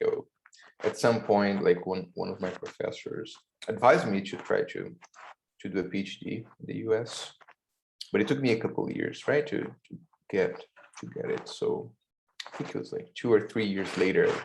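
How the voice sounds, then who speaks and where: A man's voice: lowish voice, vocal fry, a little hiss or hum, low-quality recording. A man from Brazil introducing himself on a webinar panel, hesitant, often repeating words.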